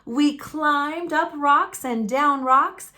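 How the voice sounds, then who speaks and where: A woman reading a children's picture book aloud as a storyteller, in an expressive, folksy and dramatic manner. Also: high voice. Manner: folksy, dramatic, deliberate delivery.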